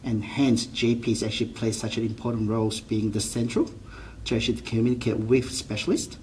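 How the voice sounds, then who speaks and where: Malaysian man, low earnest voice. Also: steady tone, brisk, a little mellow, a little hiss or hum, rough recording. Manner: earnest, with frequent restarts and non-standard grammar. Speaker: general practitioner. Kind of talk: interview answers.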